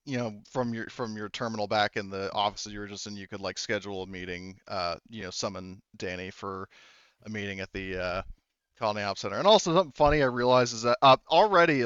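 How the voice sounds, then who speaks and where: A man's voice: lowish voice, a bit bright, clean tone, no echo, dull audio. A man casually narrating a tabletop game as game master, improvising in informal, rambling, hesitant phrases.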